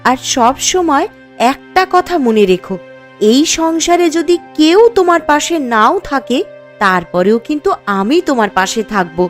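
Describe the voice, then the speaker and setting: Woman as a steadfast, high-voiced young wife. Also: expressive, normal speed, soft background music. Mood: encouraging. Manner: earnest, reassuring. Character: steadfast, supportive young wife of a jobless husband. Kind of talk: Bengali cartoon story dialogue.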